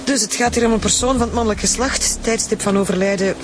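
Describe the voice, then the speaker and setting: A woman's voice: a little high, fast, noisy background, close to an everyday voice. A woman acting in a Flemish radio drama as an unfazed forensic examiner, frank, wry and matter-of-fact. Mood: wry, clinical.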